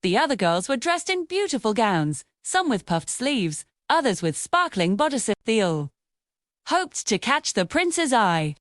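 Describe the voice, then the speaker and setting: A woman telling a children's fairy tale with an expressive, sing-song delivery. Her pitch sits a little high and swoops widely, and the recording is close and dry. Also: measured pace, no echo. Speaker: storyteller.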